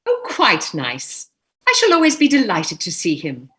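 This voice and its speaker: A woman playing a shrewd older society mother in a staged comedy reading, fondly scolding with crisp, pointed phrasing. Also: fairly low pitch, huge pitch swings, measured pace, sharp tone, exaggerated voice. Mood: polite.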